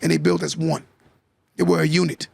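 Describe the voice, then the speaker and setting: A man's voice: a little high, flat delivery, fairly quick, vocal fry, husky. A man hosting a podcast, lecturing his listeners emphatically and repeating his key lines for effect. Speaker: podcast host. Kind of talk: casual podcast talk, motivational monologue.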